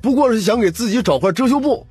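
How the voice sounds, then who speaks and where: Guy playing a whiny indignant young tenant, voice jumps way up, husky, dramatic audiobook bickering. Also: very high voice, animated, quick pace, a little mellow, no echo, faint music behind, pitched above the performer's own voice, exaggerated voice. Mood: aggrieved, pleading. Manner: dramatic, pleading, complaining.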